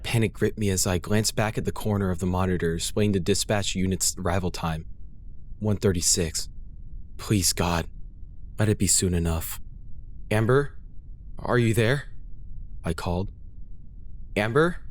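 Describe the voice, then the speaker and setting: A man's voice: sits low, normal speed, long pauses, a little hiss or hum. A man reading a first-person horror story as a dramatic scripted narration, grave and intense.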